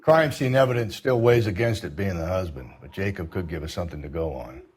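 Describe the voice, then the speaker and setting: An American man playing a crude, cynical 1940s homicide detective in a crime game, gruff and wisecracking. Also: low voice, expressive, brisk, darkish tone, a little hiss or hum. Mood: dry, suspicious. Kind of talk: period crime game acting.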